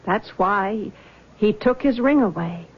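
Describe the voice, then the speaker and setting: An American actress playing a troubled, earnest young daughter in a 1970s radio drama, speaking hesitantly and with feeling. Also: female voice, medium pitch, expressive, slow, slightly rough, highs cut off. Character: troubled young woman, guilt-haunted, breaking from her mother. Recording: faintly noisy 1970s radio recording.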